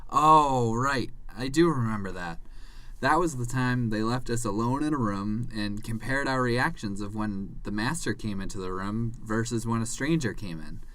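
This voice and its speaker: A man voicing an earnest, slightly naive and devoted pet dog in an animated conversation. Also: medium pitch, moderate pace, no echo, lightly acted. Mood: curious, reminiscing. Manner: earnest, puzzled, eager.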